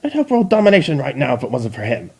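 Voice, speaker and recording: A man's voice: medium pitch, huge pitch swings, quick pace, gravelly, breathy edge, exaggerated voice. A man voicing a shrieking, imperious female changeling queen in a fan reading. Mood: screeching. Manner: screeching, imperious.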